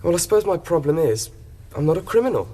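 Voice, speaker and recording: A young Englishman of about twenty in a radio drama. His voice is fairly high, and he speaks with mild, candid politeness, sounding trusting and innocent. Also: very animated, fast talker, mellow, slightly rough. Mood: polite, reassuring. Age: young adult. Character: trusting, innocent young male college gardener accused of blackmail. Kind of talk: British radio drama acting.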